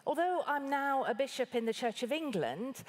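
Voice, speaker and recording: A woman from Iran, a bishop, delivering a formal prepared keynote address in a measured, articulate way. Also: sits high, lively intonation.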